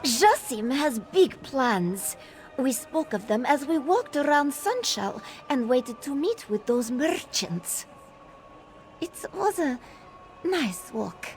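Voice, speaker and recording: Woman as a high, unhurried cat-folk guard. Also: big pitch swings, faint music behind, exaggerated voice, low-quality recording. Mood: warm, pleased. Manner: speaks of herself in third person, formal phrasing. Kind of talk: scripted game dialogue, AI-voiced.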